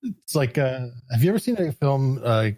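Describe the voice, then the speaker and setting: A man's voice: medium pitch, very animated, normal speed, warm tone, no room sound. A man co-hosting a podcast, chatting casually about tabletop games and films. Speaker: podcast co-host.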